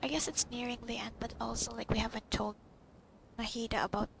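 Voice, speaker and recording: A woman's voice: fairly high pitch, steady tone, brisk, creaky. A young woman giving casual gameplay commentary, musing and thinking aloud as she plays. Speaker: game streamer. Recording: game music behind.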